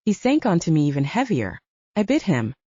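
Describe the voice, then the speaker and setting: A woman narrating a first-person fantasy audiobook, with expressive storytelling and lively voiced dialogue. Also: fairly low pitch, a little fast, no room sound. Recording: dull lo-fi dry recording.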